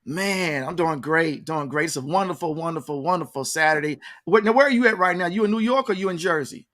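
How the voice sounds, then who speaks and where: A man's voice: fairly high pitch, a little fast, fairly bright tone. A man hosting a casual interview show in a folksy, hyped-up manner, often repeating words for emphasis.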